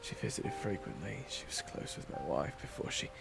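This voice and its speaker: Gruff, distrustful working man, acted by a man. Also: deep voice, lively intonation, normal speed, heavily acted. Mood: guarded, subdued. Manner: curt, menacing, blunt. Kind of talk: audio roleplay acting. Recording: background music.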